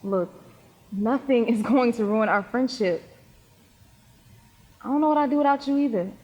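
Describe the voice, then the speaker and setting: A woman's voice: on the high side, moderate pace, darkish tone, close to an everyday voice. A young woman acting in a stage play as a troubled, sincere and guarded teenage girl. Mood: guarded, surprised. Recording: echoey hall stage recording.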